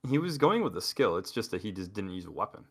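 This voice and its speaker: Podcast co-host, male, deep and expressive, rambling and excitable. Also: quick pace, a crowd in the background. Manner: animated, rambling, with many restarts. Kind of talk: casual gaming chat.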